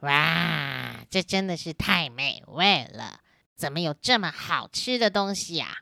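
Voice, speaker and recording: A woman voicing a hungry but polite and gentle big bad wolf in a children's radio play, speaking slowly and full of wonder. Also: sits low, big pitch swings, creaky, no echo, clean studio sound, pitched below the performer's own voice, heavily acted. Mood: delighted.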